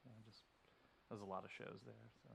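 Low, gravelly guest from Texas. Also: male voice, steady tone, a bit bright, a little hiss or hum. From Denton, Texas. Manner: halting, lots of "like" and "um". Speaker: podcast guest. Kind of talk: casual podcast chat.

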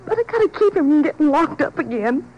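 Pleading wife of an ex-convict, very high voice, folksy grammar, tearful, old radio drama. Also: female voice, big pitch swings, fast, dark tone, lo-fi sound, slight background noise. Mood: tearful, worried. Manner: folksy, ungrammatical, tearful speech. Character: distressed, pleading working-class wife of an ex-convict. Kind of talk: 1950s radio drama acting. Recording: old 1950s radio recording.

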